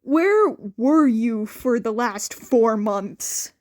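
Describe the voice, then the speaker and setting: A woman's voice: on the high side, expressive, very slow, darkish tone, dry recording. A woman voicing a sardonic, evasive young man in a dry, casual way for an audio story.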